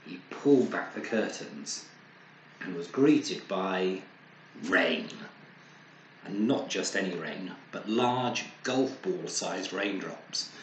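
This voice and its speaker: A man reading a children's story aloud expressively, with dramatic pauses and acted sound words. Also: sits low, lively intonation. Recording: roomy echo, faint background noise.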